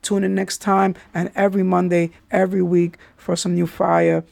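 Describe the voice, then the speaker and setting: A man's voice: high voice, flat delivery, normal speed, vocal fry, a little mellow, no echo. A man, hosting a podcast and telling his life story candidly in street slang, often saying "yo" and "you understand?". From New York City. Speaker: podcast host.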